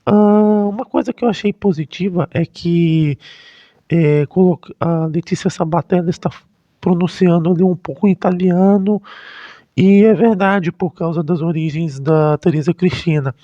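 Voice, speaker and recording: Man, Brazilian accent, raised pitch, mellow tone, halting and self-correcting, informal commentary. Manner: halting, frequently rephrasing mid-sentence. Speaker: history YouTuber. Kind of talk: casual unscripted commentary. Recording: dull, lo-fi, roomy sound.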